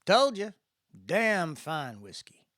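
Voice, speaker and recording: A man's voice: sits high, very animated, slow, a bit bright, no echo, clean studio sound, pitched above the performer's own voice, heavily acted. A man voicing an easygoing, folksy spacefarer with a drawl in a dramatic story reading. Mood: amiable, knowing. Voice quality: Southern-style drawl.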